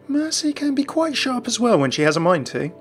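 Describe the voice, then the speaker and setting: A man's voice: very high voice, big pitch swings, fairly quick, faint music behind. A man hosting a video, narrating game lore in a chatty, enthusiastic scripted style. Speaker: gaming lore video host.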